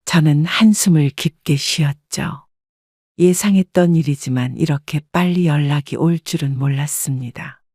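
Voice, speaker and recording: Calm woman narrating in her seventies. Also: deep voice, moderate pace, dry recording, studio quality. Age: 70s. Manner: calm, reflective. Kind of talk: scripted first-person story narration.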